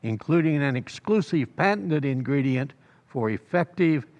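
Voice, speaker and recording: A male announcer in old-time radio style, formal and emphatic. His fairly high voice swings animatedly. The recording is a little dull and echoes like a hall. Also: animated, a little slow, darkish tone. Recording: dull, long-reverb recording.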